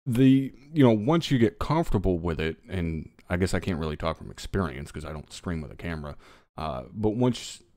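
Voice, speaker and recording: Man, very deep voice, dry close mic, profane casual podcast chat, middle-aged. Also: expressive, fairly quick, dark tone, no echo. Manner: profane, joking banter. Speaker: podcast host.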